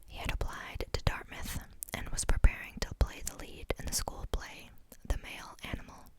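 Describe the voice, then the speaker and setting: A woman reading a book aloud in a soft, unvoiced whisper, steady but sometimes stumbling on a word and starting it again. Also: normal speed. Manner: reads steadily, stumbles and restarts on words. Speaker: reader.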